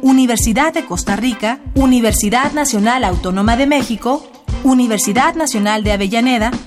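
Woman radio announcer, formal slow read, music playing under. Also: on the high side. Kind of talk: scripted announcing.

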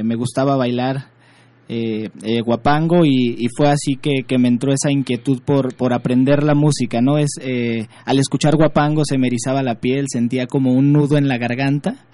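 Mellow-voiced man, relaxed musician. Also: medium pitch, no room sound. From State of Mexico. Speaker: guest musician. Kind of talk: radio interview.

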